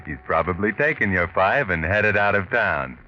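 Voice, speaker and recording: Deep, ominous radio mystery narrator. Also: male voice, animated, moderate pace. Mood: taunting. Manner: sardonic, insinuating, second-person storytelling. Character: ominous, taunting male narrator addressing the villain. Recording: narrow-band 1940s radio recording.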